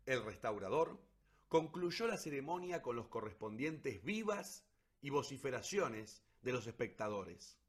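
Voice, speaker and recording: A man narrating a literary story for radio theatre in an expressive, declamatory way with ironic emphasis. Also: mid-range voice, measured pace.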